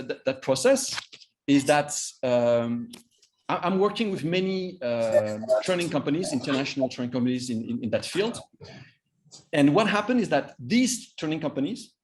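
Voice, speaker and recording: French-accented man, halting trainer's talk. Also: medium pitch, darkish tone. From Vietnam and France. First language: Vietnamese. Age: 50s. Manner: halting, repeats words for emphasis. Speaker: cross-cultural trainer and coach.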